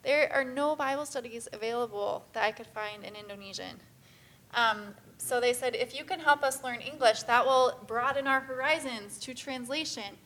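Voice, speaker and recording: A woman's voice: on the high side, normal speed, fairly bright tone. A woman speaking casually and earnestly to a church group about mission work, with frequent "um"s. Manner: casual, filler-heavy, earnest. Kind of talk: church presentation talk.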